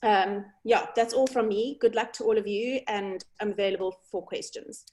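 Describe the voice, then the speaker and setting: A woman, a founder giving a measured conference talk over a video call, trailing off quietly at the ends of phrases. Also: mid-range voice.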